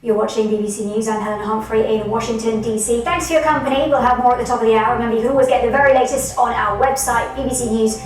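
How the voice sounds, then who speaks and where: A very echoey recording of a woman news anchor, sounding distant from the microphone. Her voice sits fairly high. She speaks with formal broadcast polish, as in bulletins and live interviews. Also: fairly even intonation, fast, few pauses.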